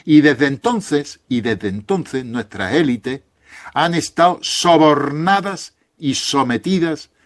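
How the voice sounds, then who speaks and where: A man's voice: a little high, lively intonation, slow. A man with a Spain Spanish accent, a guest author arguing points on history emphatically in an interview, often restarting his phrases.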